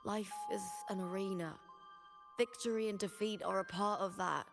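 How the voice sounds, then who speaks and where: An actress playing a sincere, wistful young woman warrior in a video game, speaking in a reflective, measured way. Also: mid-range voice, steady tone, close to an everyday voice. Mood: earnest, tender. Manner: reflective, measured, dramatic delivery. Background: game music.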